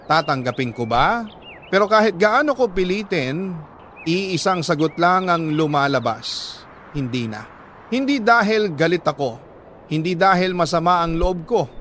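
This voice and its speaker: A Filipino man reading a listener's letter as a storytelling host, in dramatic, sentimental narration with deliberate phrasing and pauses. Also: high voice, a bit bright, slight background noise.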